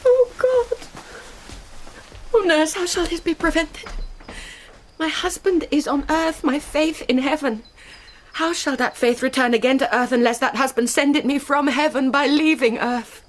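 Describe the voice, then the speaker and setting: High-voiced, pleading young British noblewoman. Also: measured pace, long pauses, a little hiss or hum. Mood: anguished. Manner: imploring, emotional verse delivery. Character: desperate, pleading young noble daughter and bride. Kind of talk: Shakespearean radio drama acting.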